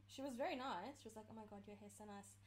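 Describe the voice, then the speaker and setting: A woman's voice: mid-range voice, fast talker, a little hiss or hum. A woman, chatting casually with friends and laughing as she tells stories. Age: 20s. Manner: animated, laughs while telling stories. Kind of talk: casual chat among friends.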